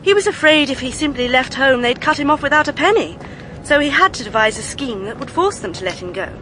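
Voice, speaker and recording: A high, clipped British female voice over clearly noisy street ambience. an earnest young woman in a radio drama, indignant and emphatic as she confides old secrets. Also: animated, a little fast, a bit bright. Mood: earnest, confiding. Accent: British English.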